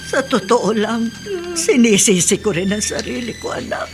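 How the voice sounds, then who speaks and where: An actress in a radio drama playing a mother gently consoling her daughter. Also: fairly high pitch, lively intonation, normal speed, faint music behind. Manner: soothing, gentle. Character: comforting middle-aged mother.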